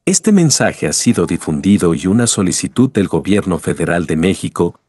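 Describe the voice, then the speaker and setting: A man reading a scripted government emergency message in a formal, measured manner. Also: sits low, lively intonation, darkish tone. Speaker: emergency alert announcer.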